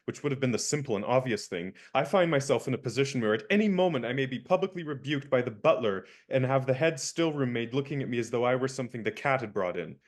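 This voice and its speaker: A young man acting an indignant valet in a radio play, crisp and lecturing. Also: medium pitch, animated, quick pace, hardly any pauses, lightly acted.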